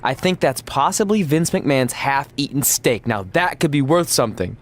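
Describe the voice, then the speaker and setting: A man's voice: medium pitch, expressive, normal speed, a little hiss or hum, close to an everyday voice. A man voicing an upbeat, oddball, loyal friend in a video game, enthusiastic and guileless as he talks. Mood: proud, cheerful. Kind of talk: video game cutscene acting, conversational.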